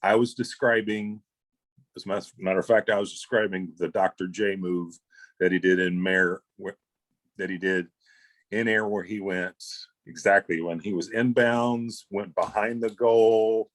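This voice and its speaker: A man's voice: lowish voice, fairly even intonation, unhurried, no room sound. A man with an American accent co-hosting a casual chat, talking like an enthusiastic fan and emphatically repeating phrases. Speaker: show co-host.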